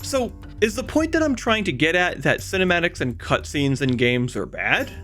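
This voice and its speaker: A slightly high-voiced man narrates a scripted video essay with a very lively, swinging intonation. He keeps it conversational and drops in wry asides, with music playing underneath. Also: moderate pace.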